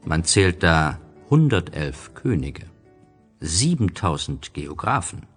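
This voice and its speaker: A man narrating a storybook from a script in an expressive, deliberate storytelling manner. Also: deep voice, slightly rough, soft background music.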